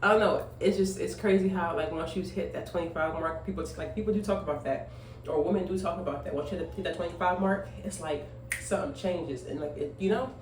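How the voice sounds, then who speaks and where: A woman's voice: fairly low pitch, a little fast, few pauses. Chatty young woman in an echoey room. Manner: chatty, rambling, filler-heavy, emphatic "okay?" asides. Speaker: vlogger, young single mother. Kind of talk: casual chat while grooming. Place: at home. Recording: echoey room, voice off-mic, faint noise.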